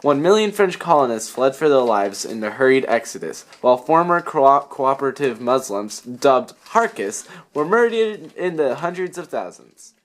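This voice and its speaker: A man's voice: mid-range voice, very animated, normal speed, few pauses. A young man reading scripted history narration for a student puppet show. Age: young adult.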